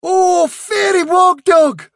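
A man voicing a gullible storybook guard dog, bristling and easily awed, speaking in excitable exclamations. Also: very high voice, unhurried, no echo, studio quality, pitched above the performer's own voice, exaggerated voice. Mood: awed rapture. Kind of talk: dramatic storybook reading.

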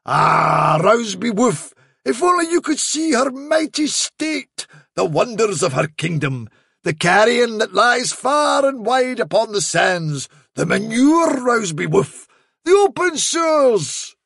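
A man voicing a wily trickster who poses as a grand fairy dog messenger in a storybook, full of drawn-out, theatrical flattery. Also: very high voice, gravelly, husky, no echo, clean studio sound, pitched above the performer's own voice, full character voice. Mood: grand, coaxing. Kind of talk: dramatic storybook reading.

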